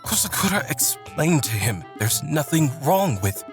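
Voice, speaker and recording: A man's voice: on the high side, big pitch swings, a little slow. Guy doing sensitive nerdy poet boy, earnest n dramatic, voice jumps around, faint music behind. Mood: flustered, indignant. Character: sensitive, flustered, bookish young male poet. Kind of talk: visual novel audio drama acting.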